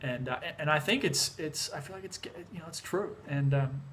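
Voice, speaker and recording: Slightly hoarse Australian man vlogging. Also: a little high, brisk, a little hiss or hum. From Sydney, Australia. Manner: relaxed and chatty, many fillers and restarts. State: a bit hoarse from being sick. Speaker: music producer vlogger. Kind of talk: casual vlog talk to camera. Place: home in the mountains, moving between outdoors and indoors.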